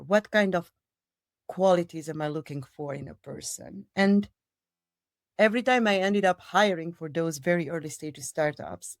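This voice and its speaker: A woman's voice: low voice, no room sound, clean studio sound. A middle-aged woman from Romania, her native language Romanian, answering interview questions at length, with emphatic repetitions and long digressions. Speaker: people operations director, guest.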